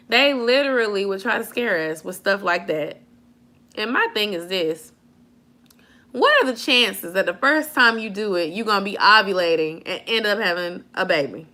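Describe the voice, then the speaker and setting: A woman in her late twenties chatting casually with viewers from home, answering comments and laughing a lot. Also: mid-range voice. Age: 20s. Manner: animated, laughing often, slangy. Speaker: vlogger chatting with live viewers. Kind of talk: casual live chat, reading comments.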